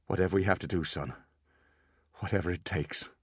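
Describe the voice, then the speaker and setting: A man acting out a desperate, creaky-voiced father. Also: low voice, brisk, no room sound, clean studio sound. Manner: urgent, earnest. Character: desperate, loving father, shaken but urging his son.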